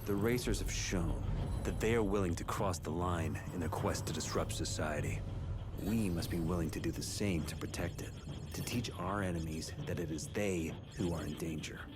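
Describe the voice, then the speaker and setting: An actor playing a grim, vengeful vigilante cop, delivering a deliberate, menacing monologue for a video game cutscene. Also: male voice, low voice, heavy background noise, close to an everyday voice. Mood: grim resolve. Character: grim, vengeful male vigilante cop narrator, cold and obsessive.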